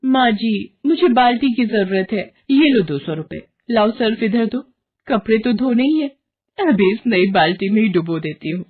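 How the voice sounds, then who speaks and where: A woman's voice: fairly high pitch, expressive, normal speed, a little hiss or hum, close to an everyday voice. A woman voicing a greedy, complaining young wife and mother in a cartoon story. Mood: eager. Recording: narrow phone-like sound.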